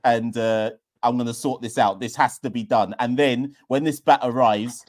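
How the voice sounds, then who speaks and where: A man's voice: mid-range voice, steady tone, normal speed, a little mellow. A man hosting a live call-in podcast from a small room, talking enthusiastically into a webcam. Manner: enthusiastic, emphatic. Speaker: podcast host. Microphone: webcam at desk.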